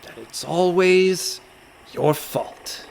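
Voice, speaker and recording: A man's voice: sits high, extremely slow, gravelly, slight background noise, heavily acted. An actor playing a fretful, reluctant con man in a comic radio play, full of dry, indignant protests. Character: anxious, reluctant young-sounding con man, male, indignant worrier.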